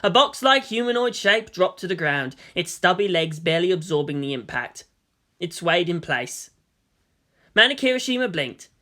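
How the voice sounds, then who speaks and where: A man's voice: high-pitched, big pitch swings, normal speed, sharp tone. A man giving a dramatic scripted narration of a fan fiction story.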